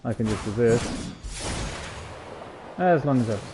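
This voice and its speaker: A man's voice: mid-range voice, expressive, a little slow, noisy background. A man streaming a game and giving casual live commentary as he plays. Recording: slightly dull stream mic.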